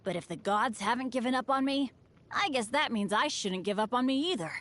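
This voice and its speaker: A woman's voice: a little high, fairly quick, a bit bright, close to an everyday voice. A woman voicing an upbeat but unlucky teenage boy adventurer in a video game, with an earnest, chatty, boyish delivery. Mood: earnest, hopeful. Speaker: game character voice actor. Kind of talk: scripted game character voice lines.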